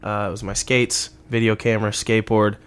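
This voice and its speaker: A man's voice: fairly low pitch, little pitch movement, brisk, smooth. A man in his mid twenties hosting a casual comedy chat, talking in an offhand, slangy, profane way. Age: mid 20s. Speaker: podcast host.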